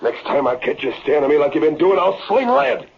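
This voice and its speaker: Blustering Western villain on muffled old radio. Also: male voice, on the high side, very animated, brisk, vocal fry, breathy edge, full character voice. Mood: angry, menacing. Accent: rural American West. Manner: folksy frontier drawl, blustering. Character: scheming, hot-tempered male Western villain, gruff frontier schemer. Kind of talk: 1940s radio acting. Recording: muffled vintage radio recording, crowd noise.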